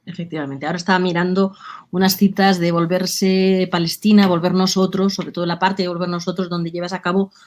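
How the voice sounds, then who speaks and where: Woman, panel moderator, lowish voice, flat even intonation, measured, halting questions. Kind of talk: moderated literary discussion.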